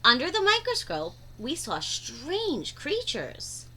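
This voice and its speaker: Female storybook reader, high bright voice, expressive and dramatic delivery for a young audience. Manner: animated, dramatic emphasis with drawn-out words. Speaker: teacher reading aloud to children. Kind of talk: expressive storybook read-aloud.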